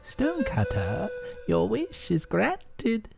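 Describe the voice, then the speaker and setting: A man voicing a gleeful magic spirit from a folk tale, whispering slowly as it grants wishes. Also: sits high, huge pitch swings, vocal fry, over music, voice pushed up, heavily acted. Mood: gleeful, enchanting. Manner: gleeful, drawn-out.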